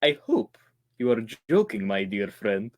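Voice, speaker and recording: A suave, scheming doctor from an old-time radio thriller, performed by a man. The delivery is very slow and drawn out, swinging widely in pitch, with theatrical courtesy covering sly calculation. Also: sing-song, dull audio. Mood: guarded, menacing. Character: suave, scheming male doctor who hires killers.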